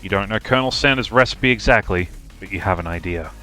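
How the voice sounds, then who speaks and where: A man's voice: lowish voice, quick pace, pushed and bright, faint music behind. A man reading game narration aloud on a livestream in a playful, theatrical storytelling manner.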